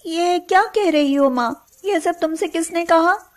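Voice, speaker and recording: A woman's voice: very high-pitched, measured pace. A woman playing a meek, long-suffering young daughter-in-law, plaintive, in a melodramatic cartoon moral tale. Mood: earnest, placating. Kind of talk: melodramatic moral story voice acting.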